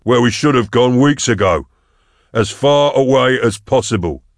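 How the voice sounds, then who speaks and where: A man's voice: deep voice, a little slow, no echo, studio quality. A man voicing a cynical, defeatist hunter in an audiobook, speaking in terse, exasperated, emphatic bursts. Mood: bitter, resigned. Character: bitter, fed-up male hunter, cynical and defeatist.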